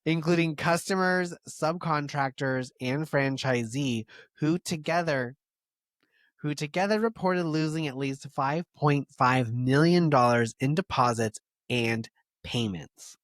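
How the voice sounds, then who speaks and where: A man's voice: fairly high pitch, measured pace, a bit bright, dry recording. A man co-hosting a podcast in casual chat, laughing easily and reading quotes aloud as he tells a story. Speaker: podcast co-host.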